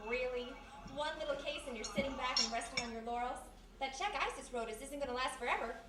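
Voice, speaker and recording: High-pitched, sassy detective's gal sidekick acted by a woman. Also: fast talker, a crowd in the background, lightly acted. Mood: playful, sassy. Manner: sassy, animated, scolding banter. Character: quick-witted, sassy young female sidekick to a detective. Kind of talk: 1940s radio detective acting. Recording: echoey live room, distant mic, noisy.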